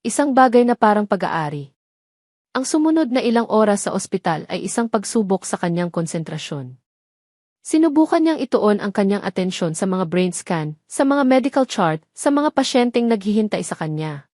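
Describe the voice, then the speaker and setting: A woman narrates a dramatic story from a script. Her voice sits at a middle pitch for a woman. The recording is dry, with hardly any room sound. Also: big pitch swings, normal speed, darkish tone, no echo.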